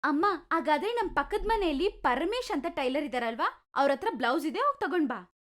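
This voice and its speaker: A man voicing a vain, naive young daughter in a very high falsetto. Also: brisk, heavily acted. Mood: eager. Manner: eager, lively. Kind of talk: storybook narration.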